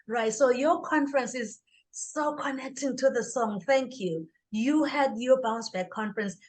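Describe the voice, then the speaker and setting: Her voice sits fairly high for a woman and carries a southern African accent. She is middle-aged and speaks as an interview guest, recounting personal experiences in an emphatic, conversational way. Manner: emphatic, acts out her inner dialogue.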